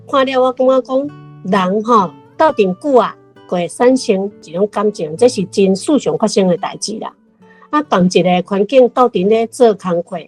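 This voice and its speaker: A woman's voice: medium pitch, a little mellow. A woman with a Taiwanese accent giving a prepared, reflective commentary on a story as a guest.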